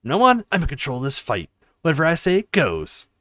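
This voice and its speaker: A cocky young male fighter from a visual novel, read out by a man. He taunts with swagger and his pitch jumps around in an animated way. The sound is dry and close. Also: a little fast, no room sound, heavily acted. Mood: domineering.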